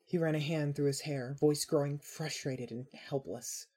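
A woman reading scripted fiction narration in a measured, dramatic storytelling manner. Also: low voice, fairly even intonation, mellow.

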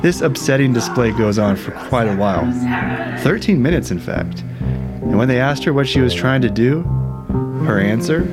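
A man's voice: mid-range voice, animated, normal speed, loud music behind the voice, close to an everyday voice. A man playing a wry, aggrieved investigator, narrating an audio drama thriller with sardonic, dramatic emphasis. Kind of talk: scripted first-person thriller narration.

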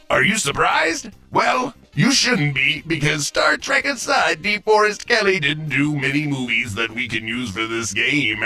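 A man plays an over-the-top game show host, reading from a script with theatrical flair. His delivery is bright and very animated but unhurried, and it often breaks into sing-song exclamations. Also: medium pitch. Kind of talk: zany scripted quiz show hosting.

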